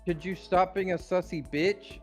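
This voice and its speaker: A woman's voice: lowish voice, fairly even intonation, normal speed, a little mellow, faint music behind. A woman chatting casually with friends over a game, blunt and teasing in the way she talks. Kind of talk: casual group chat during a game playthrough.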